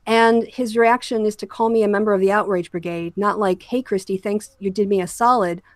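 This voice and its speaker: A woman's voice: medium pitch, fairly even intonation, a little fast, no room sound. A woman hosting an opinionated online discussion, speaking in an earnest, emphatic and argumentative way. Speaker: host of an online political discussion show.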